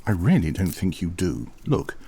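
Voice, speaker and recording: An actor playing a dutiful older butler in an audio drama, formal, measured and dryly disapproving, with a husky voice. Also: male voice, low-pitched, big pitch swings, warm tone, a little hiss or hum, exaggerated voice. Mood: gently insistent. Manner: formal, measured, politely dry.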